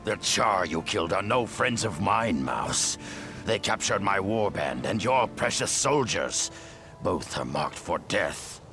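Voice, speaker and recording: A man voicing a proud, menacing captive beast warrior in a video game, taunting and deliberate. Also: low-pitched, big pitch swings, music in the background, full character voice. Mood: grave, proud.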